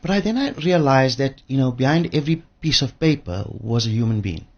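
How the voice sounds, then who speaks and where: A man's voice: mid-range voice, expressive, a little fast, highs cut off. An older man with a South African accent, a guest in a radio interview, telling his story in an informal, reflective way. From Johannesburg, South Africa. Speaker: interview guest, pension fund trustee.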